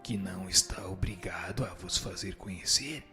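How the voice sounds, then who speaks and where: A man's voice: sits low, moderate pace, pushed and bright, soft background music. A man playing a solemn spirit instructor in a radio drama, reciting didactic answers in an admonishing, formal way. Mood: stern, admonishing. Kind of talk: dramatized reading of a spiritist text.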